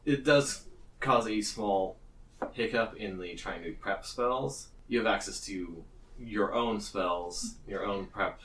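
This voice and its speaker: A man with a low voice narrates a tabletop game as game master. He talks casually and is recorded a little way back from the microphone. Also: slow, a bit bright, recorded from a distance, low-quality recording.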